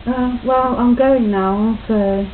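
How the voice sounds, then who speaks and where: A young woman chatting casually and self-deprecatingly in her living room, talking quietly into a built-in webcam mic. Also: steady tone, slow pace. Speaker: home video maker. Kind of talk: casual home video chat. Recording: tinny low-quality webcam audio with faint hiss.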